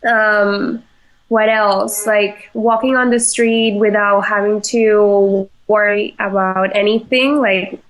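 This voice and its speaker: A young woman from Venezuela, a chef answering interview questions in a halting way, with many fillers and restarts. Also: mid-range voice, fairly even intonation, low-quality recording. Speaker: chef, podcast guest.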